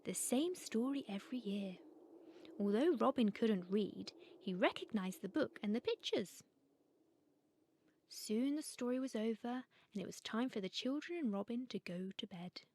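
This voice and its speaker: Woman reading a kids storybook tale, voice on the high side. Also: lively intonation, normal speed, darkish tone, husky, no echo. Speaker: storyteller and author. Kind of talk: scripted children's story narration.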